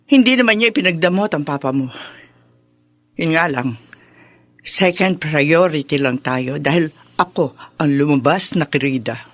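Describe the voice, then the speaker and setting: A woman's voice: low-pitched, lively intonation, a little slow, long pauses, dry recording. A woman playing an anxious, resigned middle-aged mother who is confessing in a radio drama. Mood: fearful, resigned. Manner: confessional, explanatory.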